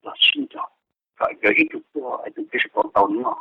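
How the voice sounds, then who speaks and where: A man's voice: very high voice, huge pitch swings, brisk, vocal fry. A man speaking conversationally as a participant on a telephone conference call. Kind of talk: conversational speech on a group call. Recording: dull, lo-fi telephone conference line.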